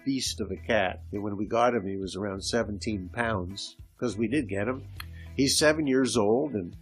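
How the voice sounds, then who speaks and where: Congested, slightly hoarse male podcast host, lowish voice, chatty storyteller, faint music underneath. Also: moderate pace. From Canada. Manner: conversational storytelling, with restarts. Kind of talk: casual solo podcast monologue. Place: at home. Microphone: old home microphone with hiss.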